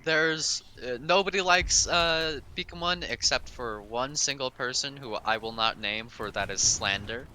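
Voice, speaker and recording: A man with a very bright, forward voice co-commentates a game in a casual, joking style. He is wry and stammers as he starts sentences. Faint noise sits behind his microphone. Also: medium pitch, measured pace, few pauses. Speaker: speedrun co-commentator.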